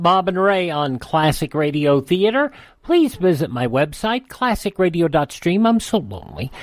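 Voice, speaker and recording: Lively high-voiced male radio host. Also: no room sound. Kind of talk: radio host announcements, lightly scripted. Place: dry studio, music behind.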